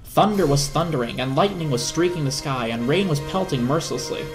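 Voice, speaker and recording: A man's voice: a little high, expressive, fairly quick, music in the background. A man narrating a children's story as a dramatic reading.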